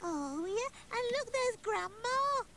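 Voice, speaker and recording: A woman's voice: very high voice, animated, slow, heavy background noise, full character voice. A woman voicing a cheeky, childlike girl rag doll in a children's television puppet show, speaking in simple exclamations. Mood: curious, chirpy.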